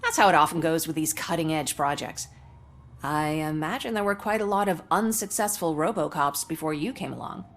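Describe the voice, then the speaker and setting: A woman's voice: deep voice, lively intonation, fairly quick. A woman playing a breezy, cynical commercial actress in a video game, talking in a wry conversational way. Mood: wry, casual. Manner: wry, casual.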